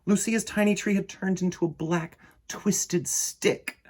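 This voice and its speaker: A middle-aged man with a husky voice, hosting a children's storytime in an expressive, theatrical way. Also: high-pitched, a little slow. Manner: theatrical, varied delivery addressed to young listeners. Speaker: children's storytime host and reader. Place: indoor room lined with bookshelves.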